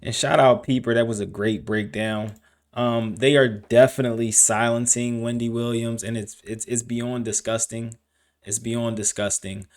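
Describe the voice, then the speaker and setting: A man's voice: medium pitch, level tone, moderate pace. A man hosting a reaction video with casual, conversational commentary.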